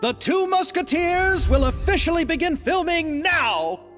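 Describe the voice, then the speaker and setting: A man's voice: extremely high pitch, lively intonation, unhurried, long reverb tail, over music. A man playing an earnest, courteous film producer in a video game, speaking formally and effusively. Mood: earnest, rousing. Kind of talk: video game character acting.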